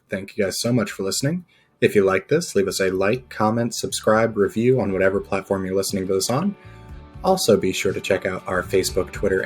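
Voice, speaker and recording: A man's voice: fairly low pitch, breathy edge. Man narrating a game, music behind. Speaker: game master and podcast host. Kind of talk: casual tabletop game narration.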